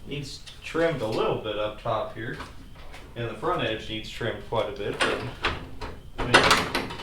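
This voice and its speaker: A man's voice: fairly low pitch, a little slow. A man giving casual, hands-on how-to narration in a wry, self-deprecating, folksy way while working on a car. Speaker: DIY car repair video host. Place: garage workshop. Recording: echoey, distant mic, clearly noisy.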